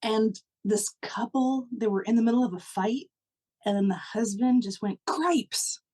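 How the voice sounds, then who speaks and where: A woman with a slightly husky voice co-hosting a laid-back podcast. She talks in a relaxed, dry manner, and reads prompt cards aloud in a plain, even delivery. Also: fairly high pitch, measured pace. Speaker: podcast co-host. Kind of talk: casual chat, reading prompt cards aloud.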